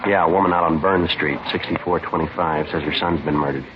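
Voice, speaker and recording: An American man acting as a terse, stoic, courteous police detective, deadpan and clipped, in a 1950s radio drama. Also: very deep voice, brisk. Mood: matter-of-fact. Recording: dull, hissy vintage radio recording.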